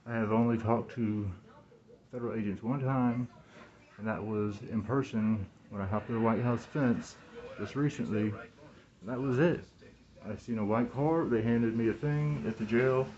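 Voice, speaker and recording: A man in his thirties in a rambling, halting monologue, repeating words and phrases, recorded on a phone indoors through a cloth mask. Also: mid-range voice, steady tone, a little mellow, lo-fi sound. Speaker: vlogger talking to camera. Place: small room indoors. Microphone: phone at arm's length, speaking through cloth mask.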